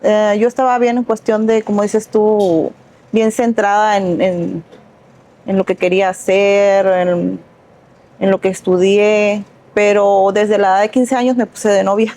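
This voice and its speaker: A middle-aged woman recounts her upbringing as an interview guest. Her voice is mellow and warm, at a moderate pitch. She speaks softly and thoughtfully, often restarting phrases. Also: little pitch movement, unhurried. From Sinaloa, Mexico. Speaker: guest, entrepreneur. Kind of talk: casual interview storytelling.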